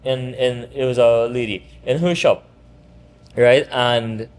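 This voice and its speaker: A man in his thirties from Trinidad, with a Trinidadian accent, talking relaxed and rambling as a barbershop owner in an interview. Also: medium pitch, takes it slowly, clear, resonant tone. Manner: relaxed, rambling with restarts and laughs. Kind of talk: casual interview chat.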